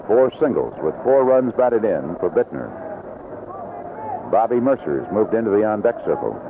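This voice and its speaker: A man's voice: on the low side, sing-song, normal speed. Tinny old-radio baseball play-by-play man. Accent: North American. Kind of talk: live radio play-by-play commentary. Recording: tinny, noisy vintage AM radio broadcast.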